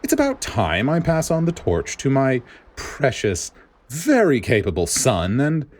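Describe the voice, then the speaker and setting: A man voicing a smug, middle-aged director who wheedles in an oily, drawn-out way, in a story reading. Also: medium pitch, wildly swooping pitch, a little mellow, pitched above the performer's own voice. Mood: smug wheedling. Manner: oily, drawn-out persuasion, stammering when cornered.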